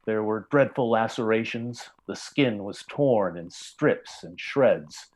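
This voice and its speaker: A man narrating a scripted tale in the style of an old time radio drama, with measured, grave storytelling. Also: fairly low pitch, lively intonation, darkish tone.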